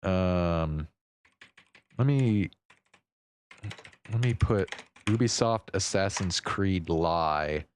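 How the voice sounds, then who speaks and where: A man's voice: low-pitched, drawn-out words, long pauses, a little hiss or hum. A man talking casually and unscripted as a livestream host, thinking aloud hesitantly and swearing now and then.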